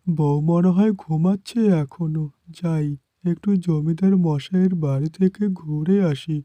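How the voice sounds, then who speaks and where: A man voicing a poor, desperate village farmer in a cartoon, pleading and haltingly repeating his words. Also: fairly high pitch, warm tone, faint music behind, natural voice. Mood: worried, dejected.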